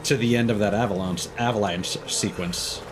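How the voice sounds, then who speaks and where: A man's voice: lowish voice, moderate pace. A man doing casual, sweary gameplay commentary as a streamer, with theatrical delivery. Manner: animated, sweary, theatrical outbursts. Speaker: game streamer. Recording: game music behind voice.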